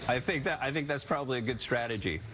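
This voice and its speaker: A man's voice: medium pitch, fast, noisy background. A man anchoring a morning news show, friendly and chatty. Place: TV studio.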